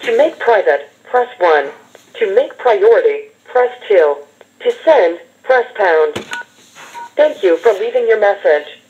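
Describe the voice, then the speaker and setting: A woman's voice: mid-range voice, lively intonation, slow, a little mellow, a little hiss or hum. An American woman giving scripted automated voicemail menu prompts in short phrases over a telephone. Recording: dull telephone system playback.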